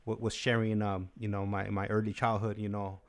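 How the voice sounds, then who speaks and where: A man hosting a casual livestream, talking haltingly and repeating himself, with many "you knows". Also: lowish voice, level tone. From United States, Los Angeles area. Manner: halting, repetitive, peppered with "you know". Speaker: livestream host.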